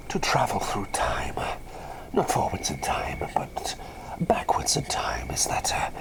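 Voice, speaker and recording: An eccentric, excitable plague doctor played by a man murmurs in a breathy whisper for an ASMR roleplay. The delivery swoops widely up and down with a crackly fry at the edges. He is doting and easily sidetracked, politely repeating his phrases. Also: mid-range voice, full character voice. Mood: eager, reassuring. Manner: rambling, easily distracted, repeats phrases, politely old-fashioned.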